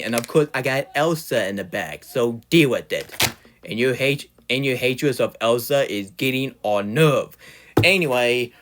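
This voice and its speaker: A young man delivers a heated rant to his camera, scolding his target by name. He stutters often, restarting and repeating himself. His voice sits at an ordinary middle pitch. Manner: scolding, addresses the target directly. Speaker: YouTube ranter.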